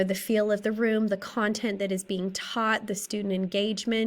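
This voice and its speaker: Slightly raspy woman presenting a case study. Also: mid-range voice. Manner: steady, methodical, works through a list of questions. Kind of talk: reading a prepared class presentation.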